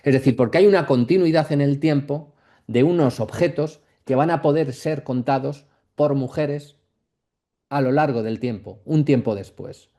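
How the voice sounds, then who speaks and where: A man giving an academic lecture off the cuff, reflective and halting, often checking in with short question tags. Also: medium pitch, warm tone. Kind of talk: masterclass lecture, speaking off the cuff.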